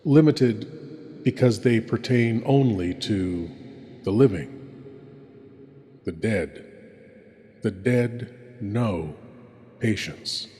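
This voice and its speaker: A man playing a sinister, courtly horror host, narrating theatrically with dramatic pauses and hushed menace. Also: mid-range voice. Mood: ominous, wry. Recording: long hall-like reverb.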